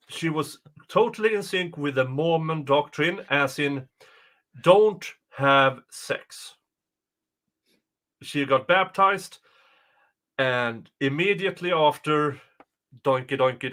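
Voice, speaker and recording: A man co-hosting a livestream, chatting casually in a jokey, halting way. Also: a little high, pushed and bright. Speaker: co-host of a true-crime livestream. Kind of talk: casual chat commentary.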